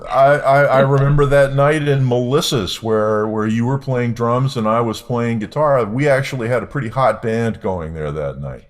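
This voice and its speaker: An older man, a blues singer, talking slowly and thoughtfully on a video call from home. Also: mid-range voice. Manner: slow and reflective. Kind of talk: casual chat on a video call. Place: room at home.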